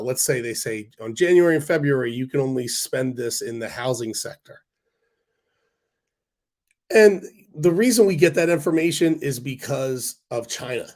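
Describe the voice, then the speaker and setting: A man hosting a casual stock-market livestream, hoarse from a cold, rambling with fillers and restarts while answering viewer comments. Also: mid-range voice, a little mellow. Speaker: stock stream host.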